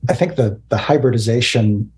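A man in his fifties interviewing over a video call, phrasing questions in a measured, careful way. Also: mid-range voice, darkish tone. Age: 50s. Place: indoors, virtual background.

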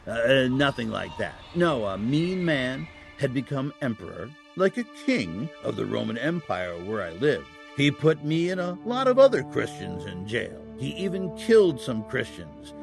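A kindly old Saint Nicholas, acted by a man in a family audio drama. His voice is gravelly and mid-pitched, with animated intonation. He explains things patiently, hesitating like a genial grandfatherly figure. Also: normal speed, hardly any pauses, music in the background.

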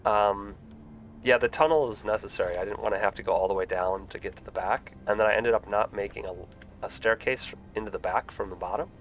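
A man's voice: on the low side, a little fast. A young man with a stuffy voice from a cold, chatting casually with lots of fillers while live-streaming a building game. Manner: relaxed, rambling, frequent fillers.

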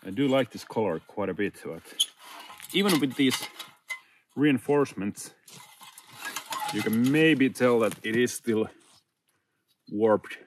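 A man in his thirties chatting casually and reflectively to camera, unhurried, speaking in short phrases with pauses. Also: mid-range voice, animated, noisy background. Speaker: outdoor vlogger. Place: inside a small tent and outdoors in snowy woods.

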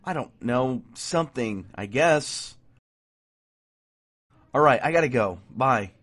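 A man's voice: mid-range voice, animated, slow, a bit bright. A man playing an evasive, flustered college student in an audio drama. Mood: evasive, hurried. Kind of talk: podcast audio drama acting.

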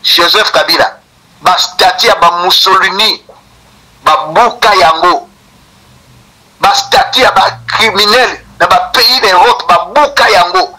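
A man's voice: high voice, unhurried, bright, forceful tone, poor audio. A middle-aged man from Kinshasa with a Congolese French accent, giving an emphatic, scornful political monologue that repeats its slogans over and over. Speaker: political commentator.

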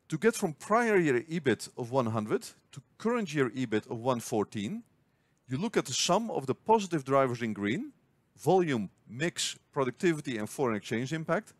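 A man narrating a scripted spreadsheet tutorial, explaining step by step in a clear, measured way. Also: a little high, no echo. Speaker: finance tutorial host. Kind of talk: scripted screen-recording tutorial.

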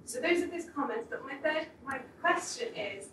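A woman's voice: high-pitched, lively intonation, normal speed, a bit bright. A woman in the audience at a public talk, asking a long, reflective question. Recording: echoey room, distant mic, faint noise.

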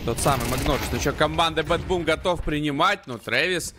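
A man's voice: a little high, brisk, music in the background. A man casting a shooter match live, conversational and reactive to the action as he analyses it. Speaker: esports match commentator. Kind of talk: live Counter-Strike commentary with casual analysis. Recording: game gunfire and noise behind. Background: gunshots and game ambience.

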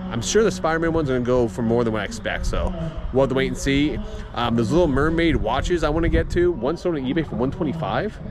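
A man's voice: medium pitch, quick pace, crowd noise. A middle-aged man reselling collectibles, talking casually to camera at an auction house and thinking aloud as he goes. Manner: chatty, thinking aloud with restarts. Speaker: reseller vlogger. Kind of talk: casual running commentary.

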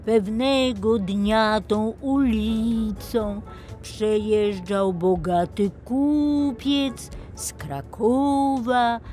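A woman's voice: on the high side, drawn-out words, dark tone, faint music behind. A woman voicing a dreamy toy digger who tells history like an old fairy tale for children. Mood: dreamy storytelling. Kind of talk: children's audio tale acting.

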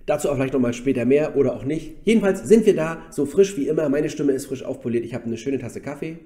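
A man co-hosting a casual podcast, slightly hoarse from a cold, joking his way through quick banter. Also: medium pitch, mellow, reverberant. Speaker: podcast co-host. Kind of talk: casual chat. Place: office room.

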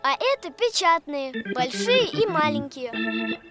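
A very high, tiny cartoon creature. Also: wildly swooping pitch, slow pace, exaggerated voice. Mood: instructive. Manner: earnest, explanatory. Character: tiny squeaky childlike creature, earnest little know-it-all. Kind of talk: children's educational cartoon. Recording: music behind the voice.

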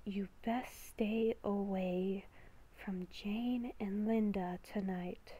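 A woman voicing an inner werewolf beast in a breathy whisper, blunt, foreboding and impatient, for an amateur game roleplay. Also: mid-range voice, fairly even intonation, extremely slow, warm tone, poor audio. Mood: ominous warning.

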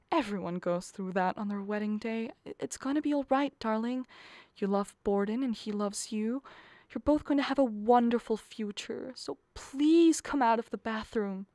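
A woman's voice: on the high side, a little mellow, no room sound. A woman playing a nervous, harassed middle-aged mother of the bride in a radio play, pleading and exasperated.

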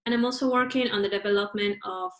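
A woman's voice: medium pitch, fairly even intonation, quick pace, a bit bright. Upbeat woman PhD student with echo. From Ecuador. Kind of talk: self-introduction to camera.

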